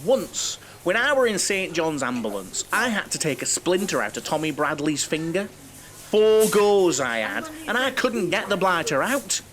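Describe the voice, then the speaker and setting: A man's voice: on the high side, very animated, a little slow, fairly bright tone, rough recording. An actor playing a naive, lonely, chatty middle-aged man with a Northern English accent in an audio play, blunt and earnest, given to comic oversharing.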